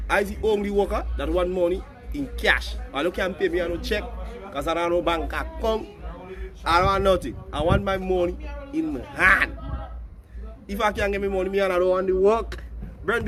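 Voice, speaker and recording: Grenadian man, hoarse from a cold, high voice, joking live-stream chat, faint music behind. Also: a bit bright. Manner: joking, teasing viewers for money, bursts into sung lines.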